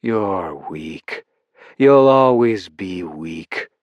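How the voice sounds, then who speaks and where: A man's voice: deep voice, expressive, drawn-out words, no room sound, lo-fi sound, exaggerated voice. A man reading an audiobook voices a cruel, mocking woman villain with a taunting, contemptuous manner.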